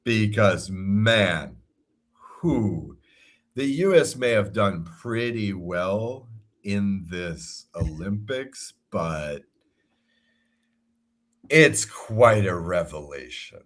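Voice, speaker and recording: A low, husky American man talking sports on a podcast. He takes his time, but his pitch rises and falls a lot as he rambles and chuckles through his points. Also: lively intonation, extremely slow. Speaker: podcast co-host. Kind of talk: casual sports chat.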